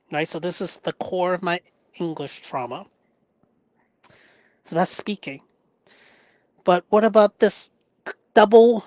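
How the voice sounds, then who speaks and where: A man from Hong Kong whose first language is Cantonese, talking through his past in a halting, reflective monologue, often checking in with "right?". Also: high-pitched, fairly bright tone, no echo. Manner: halting, searching for words, often asks "right?". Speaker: vlogger.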